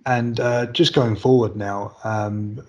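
A man's voice: lowish voice, fairly even intonation, takes it slowly, darkish tone, lo-fi sound. A man in his thirties with a British accent, conducting a casual interview. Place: room indoors on a video call.

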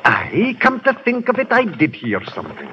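An actor in a 1930s radio play voicing an old Scottish villager who speaks in a folksy dialect. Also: male voice, sits high, huge pitch swings, normal speed, pushed and bright, full character voice. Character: gruff old Scottish villager, then a butler. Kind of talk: 1930s radio drama acting. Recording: noisy, dull 1930s radio broadcast.

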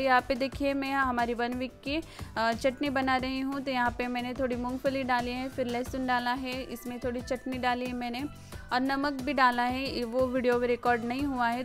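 High-pitched woman narrating with a lilt. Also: fairly bright tone. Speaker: home cooking vlogger. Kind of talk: casual recipe voice-over narration. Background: background music.

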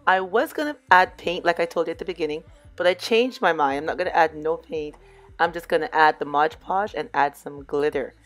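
Hoarse woman narrating a crafts tutorial. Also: deep voice, a little fast. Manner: relaxed, chatty, addresses viewers as "you guys". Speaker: DIY crafts vlogger. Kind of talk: casual crafting tutorial voice-over.

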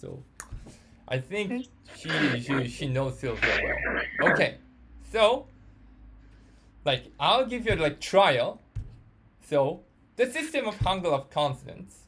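A male Korean teacher with a Korean accent leads an online lesson. His voice sits a little high, and he speaks non-native English haltingly, often repeating words as he explains. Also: very animated, fairly bright tone, slight background noise. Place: online group audio call.

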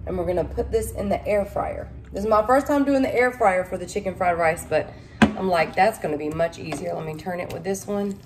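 A woman's voice: fairly low pitch, a little fast. Chatty woman cooking at home. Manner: chatty, folksy, addresses viewers as y'all. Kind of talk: casual cook-along talk to camera. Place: home kitchen.

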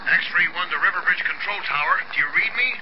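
A brisk rescue helicopter pilot in a radio play, calling over two-way radio. The voice is high, buried in loud noise. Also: male voice. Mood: brisk, businesslike. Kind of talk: radio drama acting. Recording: very noisy, dull radio transmission.

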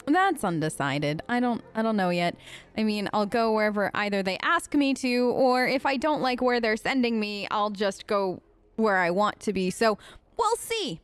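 A woman's voice: mid-range voice, big pitch swings, normal speed, clean tone, soft background music. A woman role-playing casually through a video game, chatty, earnest and informal. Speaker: let's play host role-playing as an elf character. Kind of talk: casual improvised role-play game commentary.

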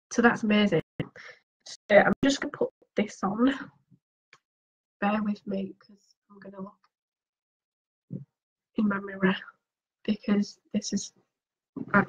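A woman with a mid-range voice talks casually through her makeup, sniffly from a cold. She chats to her viewers with questions and drops into soft murmured asides while she concentrates. The audio sounds a bit dull and lo-fi. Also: mid-range voice. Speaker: beauty vlogger.

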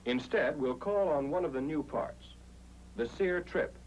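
A man's voice: a little high, expressive, a little slow, slight background noise, poor audio. An American man reading a scripted 1940s instructional film narration in an emphatic, explanatory way, on an old film soundtrack.

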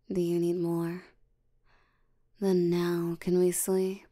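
A woman voicing a tired, languid sloth demon in an intimate ASMR roleplay, soft and often nearly whispering, with a drowsy deadpan delivery. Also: mid-range voice. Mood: sleepy, bargaining. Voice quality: soft, creaky, often breathy near-whisper.

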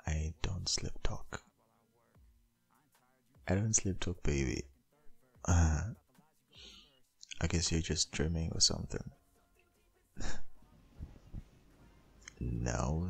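A man playing a doting, protective, gently dominant boyfriend in an intimate ASMR roleplay, murmuring softly and coaxingly close to the microphone. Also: a bass voice, heavily acted. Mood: teasing, sleepy. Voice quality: husky, gravelly, breathy.

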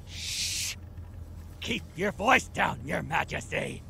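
An actor playing a scheming, irascible military commander in a video game, barking and imperious, with a menacing manner. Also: male voice, a little high, expressive, slow, gravelly, pushed and bright, slight background noise, heavily acted. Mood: hissing, urgent. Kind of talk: cartoonish video game villain acting.

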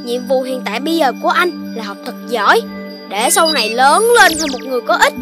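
A woman's voice: high-pitched, expressive, fairly quick. A woman voicing a kind, earnest little Dalmatian puppy boy in a children's cartoon. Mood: earnest, encouraging. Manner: earnest, thoughtful. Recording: children's cartoon music underneath.

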